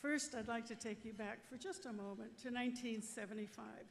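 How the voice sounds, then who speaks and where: Woman, measured speech, rather high voice, long reverb. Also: vocal fry, a little hiss or hum. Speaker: invited speaker. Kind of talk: conference speech. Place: conference hall. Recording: distant mic in a reverberant hall.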